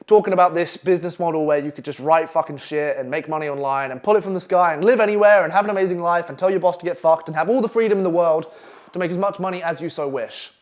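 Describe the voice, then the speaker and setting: Brash, fast-talking Essex man. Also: fairly high pitch, a little mellow. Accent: Essex English. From England. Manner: brash, profane, pushy. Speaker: copywriting coach. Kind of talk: online masterclass presentation. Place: London flat.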